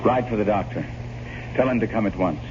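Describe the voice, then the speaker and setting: A man acting a resolute gentleman in an old-time radio horror drama, with a commanding, dramatic delivery. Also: on the low side, brisk, lightly acted. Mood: firm. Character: resolute gentleman visitor in a gothic horror tale. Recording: muffled, very noisy old radio recording.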